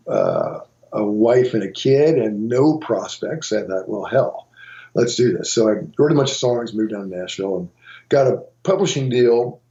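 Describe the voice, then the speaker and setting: A man's voice: mid-range voice, vocal fry, warm tone, lo-fi sound. A middle-aged man from Montana, a guitarist and songwriter, telling rambling stories in a casual interview with many restarts. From Montana, USA.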